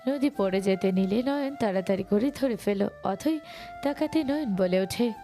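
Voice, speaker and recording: A woman narrates a romantic story from a script. Her voice is mellow and mid-pitched, with music playing behind her. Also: normal speed.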